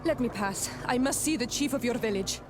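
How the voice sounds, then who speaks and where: A woman's voice: a little high, a little fast, noisy background, lightly acted. Proud, urgent young clanswoman, played by an actress. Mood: urgent, demanding. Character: proud, urgent young native clanswoman, emissary and chief's daughter. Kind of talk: video game dialogue.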